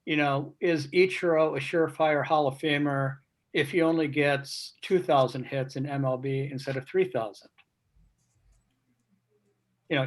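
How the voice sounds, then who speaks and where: A man talking casually as a guest on a video call, reflective and measured, often posing questions. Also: a little high, a little hiss or hum. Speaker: guest speaker, baseball writer. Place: remote video meeting. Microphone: video call microphone.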